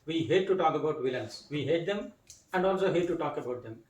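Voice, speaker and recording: A man hosting an interview, introducing his guest and asking questions in measured, slightly formal phrasing. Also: on the high side, animated, distant mic, slight background noise. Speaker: interview host. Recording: roomy, mic set back.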